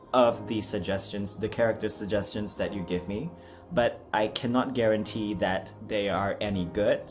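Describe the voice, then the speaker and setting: A low-voiced man talks to his viewers in an off-the-cuff vlog style. He rambles along in a self-deprecating, apologetic manner. Music plays clearly behind him. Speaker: amateur voice actor and video host. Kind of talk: casual unscripted vlog chat.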